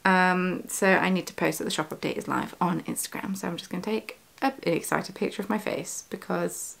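A woman's voice: lowish voice, fairly quick, gravelly, fairly bright tone. Woman, young adult, rambling vlog chatter with ums, living room, close camera. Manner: chatty, rambling, many fillers and self-corrections. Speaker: yarn shop owner and vlogger. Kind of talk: casual studio vlog. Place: living room at home, indoors. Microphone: camera on desk at close range.

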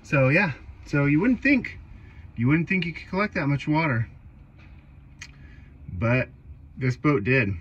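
A man's voice: a little high, expressive, measured pace, long pauses, a bit bright, muffled recording, a little hiss or hum. A man casually talking through repairs in the small cabin of a boat, with wry, understated asides, recorded on an action camera. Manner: wry, understated, talks to himself while working. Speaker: boat owner vlogger. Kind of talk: casual vlog commentary while working. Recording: music under the voice. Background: background music.

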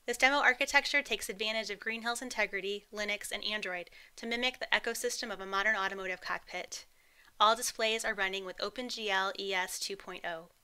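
Bright, crisp corporate woman narrator. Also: mid-range voice, a little fast. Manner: polished, upbeat, even delivery. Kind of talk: scripted promotional voice-over.

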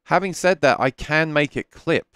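A man's voice: a little high, normal speed, fairly bright tone, clear, resonant tone, no room sound. A man in his thirties hosting a casual live stream from a small home studio, talking candidly through a headset mic and answering viewer questions with frequent restarts. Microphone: headset microphone.

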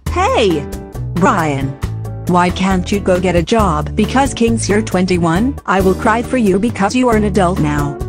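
Give me a nagging mother with a squeaky, sing-song voice, as a man puts her on. Her pitch jumps all over, and music plays clearly behind her. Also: lively intonation, a little slow, natural voice. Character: fussy mother of a young man.

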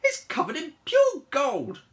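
A woman's voice: sits high, wildly swooping pitch, measured pace, pitched above the performer's own voice, heavily acted. A woman voicing a haughty, furious female pig queen in a storybook, imperious and indignant.

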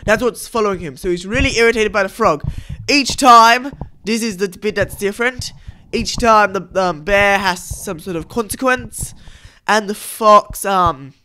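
A man hosting a gaming video, giving casual, halting commentary over cutscenes. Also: high voice, bright, forceful tone, slight background noise.

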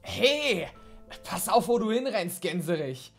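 A man's voice: high voice, big pitch swings, slow pace, a bit bright, husky, faint music behind, heavily acted. A man playing an arrogant, taunting village youth in a fantasy audio drama. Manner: sneering, taunting. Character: mocking, arrogant village youth, chief's youngest son.

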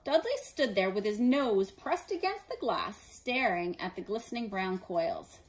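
A woman in her forties reading a children's story aloud as an expressive narrator. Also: medium pitch, measured pace, rough recording. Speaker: storybook reader. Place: living room indoors.

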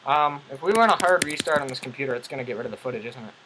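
A man's voice: mid-range voice, lively intonation, racing, background noise. A young man co-hosting a gaming video in a small room, chatting casually and wryly with a friend. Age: young adult. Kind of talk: casual let's play banter. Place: small home room, indoors.

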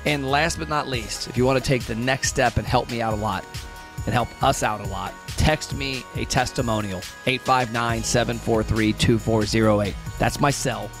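A man with a mid-pitched voice reads a scripted break, appealing directly and cheerfully to his listeners. Faint music plays under his voice. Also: steady tone, normal speed. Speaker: podcast host. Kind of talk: scripted promo read.

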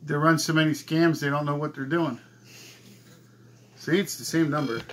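A man's voice: on the high side, fairly even intonation, normal speed, fairly bright tone. A man in his forties giving casual, muttered commentary at home as the host of a prank-call video. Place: small cluttered room at home. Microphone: camera across the table. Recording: home camera with faint room noise.

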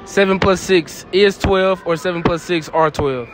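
A man's voice: high-pitched, unhurried, over music. A young man interviewing strangers in a shopping mall, repeating their answers back and laughing often. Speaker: street interviewer. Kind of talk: casual street interview. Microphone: handheld phone.

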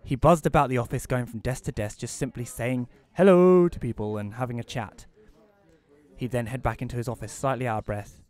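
A man playing a bitter, reclusive widower who narrates an audio play in a wry, deadpan way. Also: mid-range voice, fairly quick, lightly acted.

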